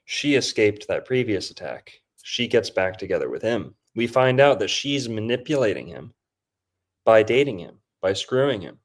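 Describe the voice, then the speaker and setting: Low-voiced rambling male podcast host. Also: big pitch swings, moderate pace. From Florida. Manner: rambling, loose, filler-heavy. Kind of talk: casual conspiracy movie breakdown.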